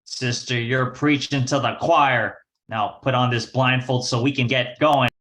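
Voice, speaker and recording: Guy doing a slangy young crook from an old radio detective show, bright punchy voice. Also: medium pitch, steady tone, a little slow, lightly acted. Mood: menacing. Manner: wisecracking, slangy. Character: scheming young male gambler and crook, 1940s slang. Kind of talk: 1940s radio detective acting.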